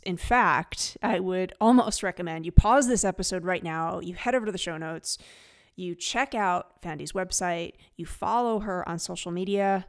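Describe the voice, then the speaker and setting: A woman hosting an education podcast, reading announcements and introducing her guest in an enthusiastic way. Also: on the low side. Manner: enthusiastic, upbeat promo reading. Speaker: podcast host.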